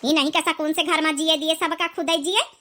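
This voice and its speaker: A woman's voice: sits high, fairly even intonation, a little fast, sharp tone. A woman voicing a scolding, grumbling old village mother-in-law in an Awadhi accent, for a cartoon comedy. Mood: angry grumbling. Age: older. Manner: nagging, complaining.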